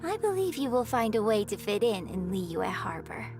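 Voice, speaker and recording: A woman playing a poised, gracious young opera performer in an anime video game, polite and measured. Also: medium pitch, big pitch swings, darkish tone, soft background music. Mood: gentle, reflective.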